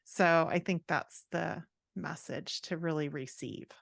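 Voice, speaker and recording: A woman's voice: deep voice, measured pace, creaky, dry recording, clean studio sound. A woman speaking as an interview guest, an author and writing coach who explains things in a conversational way.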